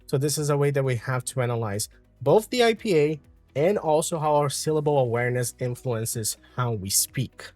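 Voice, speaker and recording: A man from Brazil with a Brazilian Portuguese accent, calmly explaining an English lesson with frequent fillers. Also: mid-range voice, moderate pace, dry recording, soft background music. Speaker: English teacher.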